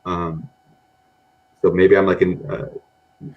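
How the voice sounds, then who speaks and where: A man's voice: low voice, little pitch movement, slow pace, muffled recording. A man speaking as a guest on a data podcast. He rambles casually, with a mixed American accent, and often says "you know" and "um". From grew up in Japan among Americans.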